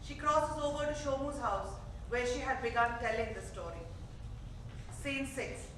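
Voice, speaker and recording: A woman's voice: high voice, moderate pace, fairly bright tone, far from the mic. A woman reading scripted stage directions as the narrator of a staged play. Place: theater stage. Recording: echoey theater recorded from afar, faint noise.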